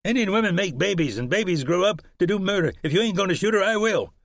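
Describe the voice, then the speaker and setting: A man's voice: high voice, animated, fast talker, no echo, pitched above the performer's own voice. A man playing a brutal, sneering frontier horseman. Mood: hateful. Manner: frontier drawl, sneering and harsh.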